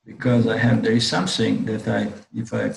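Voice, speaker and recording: A man teaching a spiritual philosophy class over a video call with a strained voice. He keeps clearing his throat and talks haltingly, often restarting his phrases. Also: fairly low pitch, completely flat delivery, reverberant. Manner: halting, restarts phrases, repeats words while explaining. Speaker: Vedanta teacher. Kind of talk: online class lecture. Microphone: computer mic on a video call.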